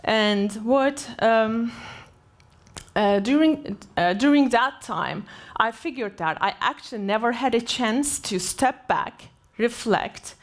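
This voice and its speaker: A woman in her forties, from Turkey, speaks from a conference stage in an unhurried, halting way. She leans on fillers and restarts sentences often. Her voice sits a little high for a woman. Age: 40s. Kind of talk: personal story keynote.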